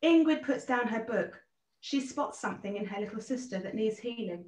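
Woman narrator for play reading; scripted delivery, slightly high voice, echoey room. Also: expressive, moderate pace, darkish tone. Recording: echoey, distant from the mic.

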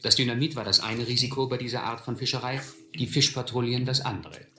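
An actor playing a reflective man recalling his runaway youth, narrating a radio play in measured, literary sentences. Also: medium pitch, echoey room, background noise, lightly acted. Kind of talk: first-person scripted narration in German radio play.

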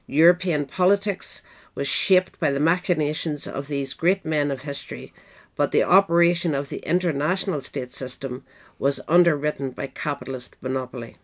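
A woman's voice: sits low, normal speed. A woman reading scripted nonfiction narration as an audiobook reader. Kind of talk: scripted narration of a political history essay.

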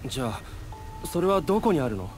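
A man's voice: on the high side, very animated, fast talker, soft background music. A man voicing an earnest, questioning young hero in a video game, speaking casually. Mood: puzzled. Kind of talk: cinematic video game voice acting.

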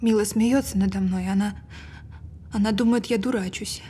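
An actress playing a frightened woman reliving a childhood memory under hypnosis, speaking haltingly in a naturalistic audio drama. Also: mid-range voice, steady tone, mellow, slightly rough, lightly acted. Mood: frightened, pleading.

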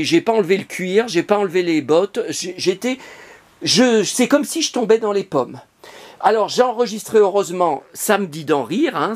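A man in his apartment talking casually to camera about his collection, digressive, with many hesitations and restarts. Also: sits high. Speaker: collector vlogger. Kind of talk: rambling casual monologue to camera. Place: cluttered apartment, indoors.

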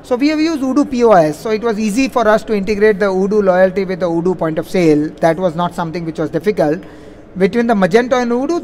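A man with a notably high voice speaks from a conference stage, fielding questions. He is wordy and leans on filler phrases as he explains. There is a faint layer of live-event noise behind him. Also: darkish tone. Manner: wordy, repeats "like, you know" as a verbal habit. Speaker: conference speaker, software implementation consultant. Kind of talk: answering audience questions at a conference. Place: on stage in a large conference hall.

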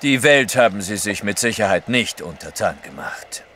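An actor playing a blunt, proud Russian merchant in an audio drama. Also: male voice, fairly low pitch, very animated, normal speed, sharp tone, slight background noise. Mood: terse, proud.

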